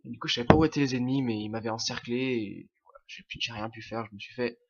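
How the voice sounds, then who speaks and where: A man's voice: mid-range voice, fast, dull audio. A young man with a French accent, who has a cold, commenting casually on his own gameplay, hesitant and full of fillers and restarts.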